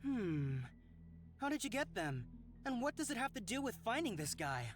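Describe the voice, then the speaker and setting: A woman voicing a bold, curious and flirty traveller in a fantasy visual novel, expressive and teasing. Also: a little high, moderate pace, over music.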